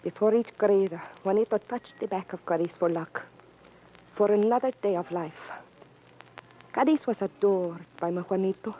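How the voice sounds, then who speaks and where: A woman's voice: medium pitch, a little hiss or hum, close to an everyday voice. A woman with a Spanish accent playing a grieving, proud matador's widow, formal and melodramatic, in radio drama. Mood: proud, mournful. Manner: formal, dramatic, deliberate phrasing. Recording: muffled old radio recording with music.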